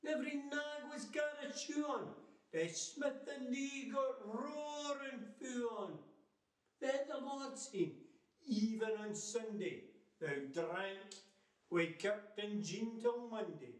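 Older Scottish male, high voice, dramatic verse recital, recorded at a distance in a roomy space. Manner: theatrical, emphatic, measured. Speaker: poetry reciter. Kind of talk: dramatic recitation of Scots narrative poetry. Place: living room with fireplace, indoors. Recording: roomy, distant microphone sound.

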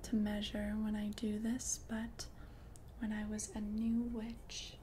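A woman voicing an ancient, confident witch in a whispered ASMR roleplay, speaking in a soft, breathy, deliberate and soothing way. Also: medium pitch, completely flat delivery, slight background noise, close to an everyday voice. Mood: hushed, cautioning. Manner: slow, deliberate, soothing, repeats words for emphasis. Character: ancient, confident witch brewing love potions.

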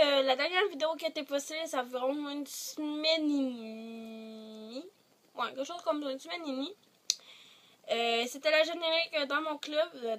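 A teenage woman with a Quebec French accent, chatting to camera in her bedroom, rambling with many hesitations, a little congested from a cold. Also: high voice. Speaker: vlogger. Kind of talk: casual chat to camera. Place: bedroom, sitting on a bed. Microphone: device camera at short distance.

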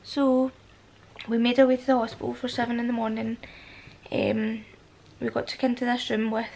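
A woman in her twenties with a Scottish accent, a husky voice from a cold, talking softly to the camera in a casual vlog with many ums. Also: a little high, little pitch movement, moderate pace. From Scotland. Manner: soft and hushed, with many ums. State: has a cold. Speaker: vlogger. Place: indoors, at home in a bedroom, lying against a headboard. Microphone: phone or camera at arm's length.

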